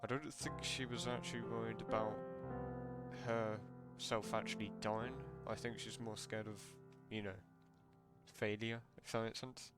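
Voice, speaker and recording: A man streaming a game, giving casual, halting commentary as he thinks out loud in a conversational way. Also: fairly low pitch, level tone. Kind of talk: casual live gameplay commentary. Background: prominent game music with piano.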